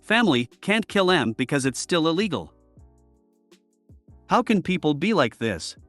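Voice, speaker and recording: Man reading reddit stories, higher voice. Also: sing-song, moderate pace, fairly bright tone, no room sound, soft background music. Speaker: reddit story narrator. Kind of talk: scripted narration reading posts.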